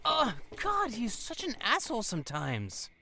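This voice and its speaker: A woman's voice: a little high, wildly swooping pitch, unhurried, background noise, exaggerated voice. A woman playing an indignant, protective teenage sibling in an audio drama. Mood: annoyed.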